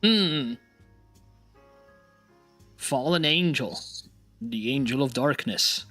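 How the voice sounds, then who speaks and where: A man hosting a casual chat stream, laughing often and talking in an animated, teasing way; English is not his first language. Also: medium pitch, drawn-out words, soft background music. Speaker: streamer host.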